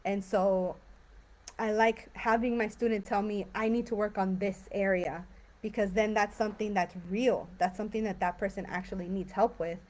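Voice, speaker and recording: A woman's voice: medium pitch, normal speed. A young woman from California teaching English, talking casually on a live stream with many fillers. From San Diego and Tijuana. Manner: chatty, relaxed, many fillers.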